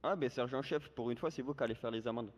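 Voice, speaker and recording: A quick-talking man with a medium-pitched voice, role-playing as a commanding police officer in an online game. He hands out formal orders and threats in a bossy way, over faint background noise. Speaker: player acting as a police commander. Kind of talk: improvised online role-play chat. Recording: gaming voice chat with background noise.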